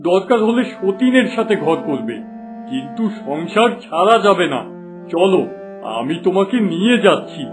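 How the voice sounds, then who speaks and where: চড়া গলার কড়া গ্রাম্য মোড়ল. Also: male voice, sits high, expressive, normal speed, music in the background. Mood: firm, commanding. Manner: authoritative, scolding. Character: stern, commanding male village headman.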